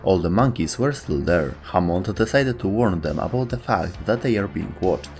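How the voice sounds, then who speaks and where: A man with a lowish voice hosts a lore show, reading a prepared script as narration. Soft music runs behind his words. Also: expressive, moderate pace. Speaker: host of a video game lore show.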